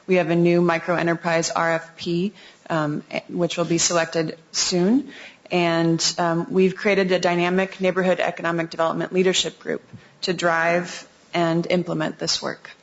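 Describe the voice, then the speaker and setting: A woman with a fairly low voice delivers a polished progress report to a city commission, with only an occasional "um". The sound is muffled and lo-fi, as recorded in a public meeting room. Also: level tone, normal speed. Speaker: city agency staff presenter. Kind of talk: formal presentation to a commission.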